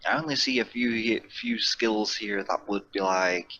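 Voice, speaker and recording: A man's voice: fairly low pitch, measured pace, pushed and bright, highs cut off. A man chatting casually as a player in an online tabletop game, heard over a computer voice call. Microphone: computer mic on a voice call. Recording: faintly noisy online voice call.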